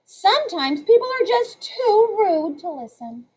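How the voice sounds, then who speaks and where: A woman's voice: extremely high pitch, sing-song, measured pace, pitched above the performer's own voice, exaggerated voice. A woman reading aloud, voicing a prissy know-it-all schoolgirl who lectures on manners in a preachy, sing-song way. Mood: scolding.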